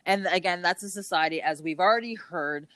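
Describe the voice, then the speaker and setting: A woman's voice: fairly low pitch, brisk, no echo. A woman hosting a casual podcast interview, bubbly and laughing often, using affectionate pet names. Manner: bubbly, frequent laughter, warm affectionate address. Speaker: podcast host.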